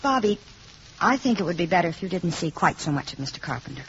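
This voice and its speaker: An actress playing a polite, protective mother with a composed, gently firm way of talking in a 1950s radio play. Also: on the low side, big pitch swings, quick pace. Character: polite, protective young mother living in a boarding house. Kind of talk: 1950s radio drama acting. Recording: dull, noisy old radio recording.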